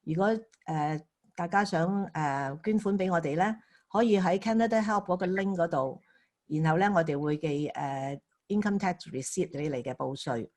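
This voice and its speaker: A woman who is an opera company director, giving a careful, measured introduction with hesitations. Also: fairly low pitch, a little mellow. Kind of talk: formal introduction.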